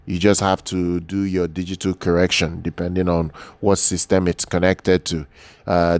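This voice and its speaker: A man giving an unscripted product overview as an audio gear reviewer, speaking haltingly and often repeating words and phrases. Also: low-pitched, darkish tone, clear, resonant tone, dry recording.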